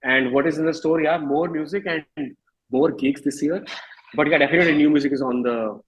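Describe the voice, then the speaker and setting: Husky Indian man talking casually. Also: a little high, a little fast, dull audio. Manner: informal, reflective, peppered with "you know" and "like". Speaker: singer-songwriter being interviewed. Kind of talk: casual conversational interview.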